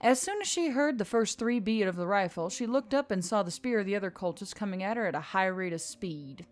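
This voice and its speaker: A woman's voice: medium pitch, lively intonation, brisk. A woman reading scripted action fiction as an audiobook narrator. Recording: background music under the voice.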